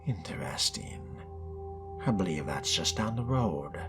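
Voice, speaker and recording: A man roleplaying through a game in character, musing to himself in a wry, measured and formal way. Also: deep voice, very animated, music in the background. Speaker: roleplaying gamer narrating a playthrough.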